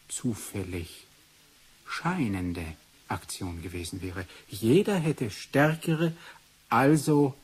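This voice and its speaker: An actor playing a pensive doctor looking into a suspicious death in a radio drama, speaking deliberately with weighty pauses. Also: male voice, on the low side, darkish tone, husky, heavily acted. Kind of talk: 1980s German radio drama acting. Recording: hissy 1980s radio play recording.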